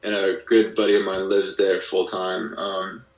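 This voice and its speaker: A man with a lowish voice chats as an interview guest in a halting, hesitant manner. He sounds set back from the mic in a very echoey room. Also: monotone, muffled recording. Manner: hesitant, halting delivery with frequent hedging. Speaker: podcast guest, musician and creator of an audio drama. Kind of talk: casual interview chat. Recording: roomy echo, distant from the mic.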